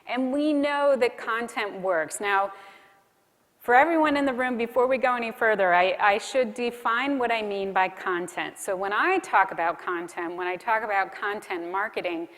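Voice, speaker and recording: A woman's voice: medium pitch, a bit bright. A woman giving a conversational conference keynote, asking the audience questions as she goes. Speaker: keynote speaker. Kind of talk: conference keynote talk on content marketing. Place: large conference hall. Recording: long hall reverb.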